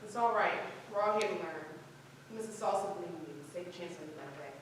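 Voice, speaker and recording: A woman's voice: fairly low pitch, a little fast, natural voice. A woman acting a friendly, encouraging young drama student in a staged play reading. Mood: reassuring. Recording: distant echoey room, clear noise.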